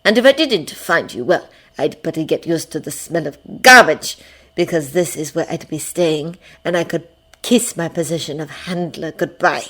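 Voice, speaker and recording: A woman's voice: low voice, moderate pace, a bit bright. A woman voicing a smug, maniacal male villain with a cold, grating tone in a narrated story. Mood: gloating. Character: smug, maniacal burned man, sadistic villain.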